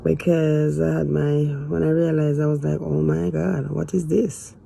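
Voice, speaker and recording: A woman in her fifties talking hesitantly to camera in a casual, rambling way, with sighs and trailing pauses. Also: sits low, flat delivery, gravelly, dark tone, slightly rough, no echo, rough recording. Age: 50s. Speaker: vlogger. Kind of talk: casual confessional talk to camera.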